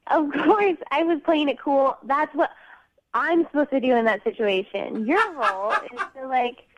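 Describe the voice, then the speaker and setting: A woman's voice: sits high, normal speed. A young woman calling in to a radio dating segment, speaking casually and defensively with lots of "like", over a phone line. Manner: defensive, indignant, frequent filler 'like'. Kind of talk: argumentative casual phone conversation. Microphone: telephone. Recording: muffled, band-limited radio phone line.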